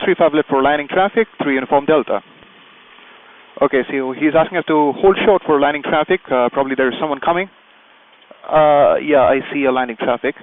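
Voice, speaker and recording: A man's voice: medium pitch, phone-quality sound. A man working as a pilot and video host, explaining procedures step by step from a small plane cockpit and making radio calls. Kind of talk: instructional vlog commentary with radio calls from the cockpit.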